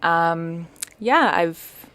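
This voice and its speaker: Smooth-voiced woman in her thirties, chatty. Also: on the low side, expressive, drawn-out words, a bit bright. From Canada and Spain. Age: 30s. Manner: chatty, frequent "um" fillers, easy laughter. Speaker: guest, model and actor. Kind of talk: casual interview chat.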